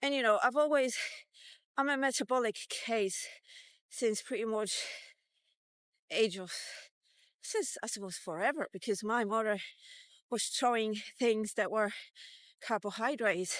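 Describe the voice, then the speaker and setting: A woman's voice: medium pitch, no room sound. A woman in her fifties talking informally and candidly in a rambling, casual vlog. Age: 50s. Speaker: vlogger. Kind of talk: casual walk-and-talk vlog.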